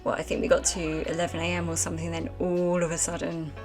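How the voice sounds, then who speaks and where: A woman's voice: on the low side, completely flat delivery, fast, over music. A woman streaming a game live, chatting casually and rambling with lots of fillers at a desk microphone. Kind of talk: casual let's-play commentary chatting with chat.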